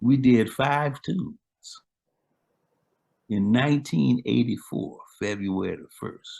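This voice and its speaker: A man's voice: mid-range voice, slow, creaky, darkish tone. An older man, a musician guest, casually chatting and retelling old stories in a laid-back, slangy way. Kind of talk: casual chat, storytelling.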